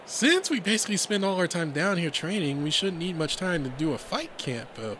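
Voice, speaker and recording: Man doing an excitable, high-pitched cocky young fighter. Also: fairly quick, background noise, close to an everyday voice. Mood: explaining. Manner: excitable, bragging, slangy. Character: exuberant, cocky young male anthro fighter, friendly and teasing.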